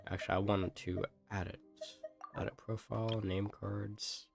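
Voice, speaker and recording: Low-pitched man rambling through casual game commentary. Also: flat delivery, unhurried, vocal fry. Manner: rambling, thinking aloud. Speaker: game streamer. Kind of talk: casual live gameplay commentary. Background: game music.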